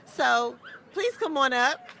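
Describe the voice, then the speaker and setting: A woman's voice: high-pitched, animated, slow, gravelly, sharp tone, a little hiss or hum. A woman emceeing a ceremony program, loose and conversational, laughing at her own slips.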